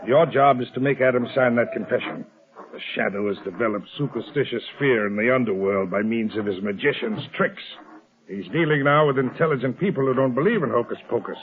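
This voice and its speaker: An actor playing a cold, scheming crime boss in a 1930s radio drama, commanding, articulate and impatient. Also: male voice, mid-range voice, a little fast, natural voice. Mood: scornful. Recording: old 1930s radio broadcast, narrow band.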